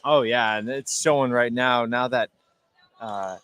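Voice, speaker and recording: An American man giving casual, halting basketball analysis as a radio colour commentator. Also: mid-range voice, fairly bright tone. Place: indoor high school basketball game. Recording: live gym broadcast with background noise.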